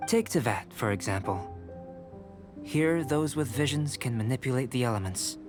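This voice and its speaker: A man voicing a calm, polite, bookish young alchemist in a video game, measured and formal. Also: medium pitch, close to an everyday voice. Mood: calm, curious. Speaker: video game character actor. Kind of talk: scripted game voice acting. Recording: music behind the voice.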